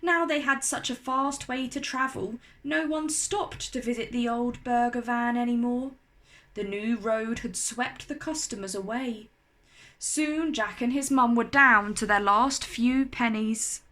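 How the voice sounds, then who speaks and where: A woman reading a children's storybook aloud in an expressive, animated, carefully enunciated way. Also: fairly high pitch, measured pace.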